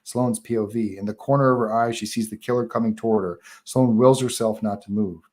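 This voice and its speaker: Low-pitched man narrating a script. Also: a little mellow. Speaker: narrator reading stage directions. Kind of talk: scripted narration at a live table read.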